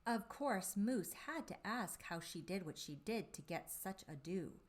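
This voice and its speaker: A woman reading a storybook aloud for young children, with deliberate, dramatic phrasing and drawn-out emphasis on key words. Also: mid-range voice, expressive. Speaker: children's storybook reader and presenter.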